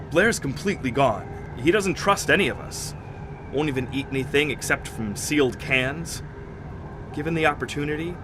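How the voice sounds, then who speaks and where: An actor playing a level-headed scientist in an audio drama, explaining things in a measured, serious manner. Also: male voice, natural voice. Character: level-headed, grave male polar expedition scientist. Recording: clear noisy rumble behind the voice.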